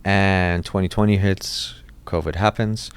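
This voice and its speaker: A man's voice: deep voice, fairly even intonation, unhurried, smooth. A dentist in his thirties, born in Iraq and raised in Los Angeles, telling his story in a casual interview, with many restarts and fillers. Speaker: dentist, podcast guest.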